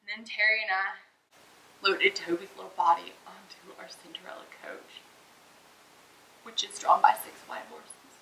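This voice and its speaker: A woman's voice: medium pitch, sharp tone. A woman playing an earnest, sweetly solemn home baker, mourning her dog in a comedic monologue. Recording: roomy indoor echo.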